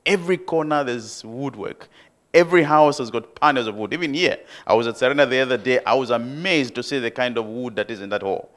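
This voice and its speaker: A man's voice: mid-range voice, fairly quick, fairly bright tone. A man from Zambia asking an earnest question from the floor at a conference, with many ums. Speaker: audience member asking a question. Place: conference hall.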